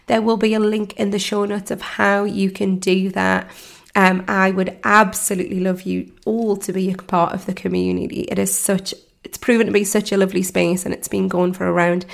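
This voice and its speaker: A woman hosts her podcast with a croaky, hoarse voice from laryngitis. There's a gravelly roughness running under her mid-pitched talk. She chats casually, filling pauses with ums and restarting sentences. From United Kingdom. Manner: warm chatty, many ums and restarts. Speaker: podcast host and mindset coach. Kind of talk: casual solo podcast chat.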